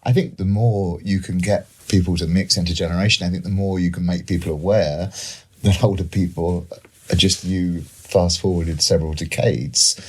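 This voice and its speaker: A man's voice: low voice, slightly rough. A middle-aged man with a British accent explaining ideas as an expert guest in a panel discussion, reflectively and with examples. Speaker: economist and author, panel guest.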